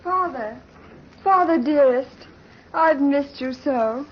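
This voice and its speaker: A woman's voice: sits high, slow pace. An actress playing a sweet, tender, girlish young daughter and bride in a 1930s radio drama. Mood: affectionate, coaxing. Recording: muffled, noisy old radio recording.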